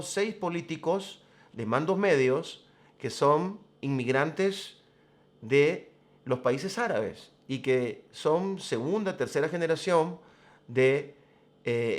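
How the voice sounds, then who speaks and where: A man hosting a programme, giving commentary in a deliberate, emphatic, explanatory way. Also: fairly high pitch. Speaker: host of a religious current-affairs programme. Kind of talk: spoken commentary to camera.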